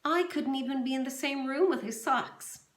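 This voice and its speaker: A woman's voice: high-pitched, normal speed, distant mic, pitched above the performer's own voice, lightly acted. A woman voicing a playful wife who teases her husband in an exaggerated way, recorded in a roomy, echoey space. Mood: teasing. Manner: teasing, exaggerated. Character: playful, joking wife of a ballplayer. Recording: roomy echo.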